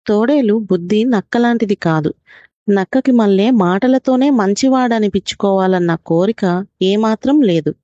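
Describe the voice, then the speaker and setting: A woman's voice: mid-range voice, moderate pace, dark tone, dry recording, lo-fi sound. A woman telling a scripted children's story, as a mother would to her child.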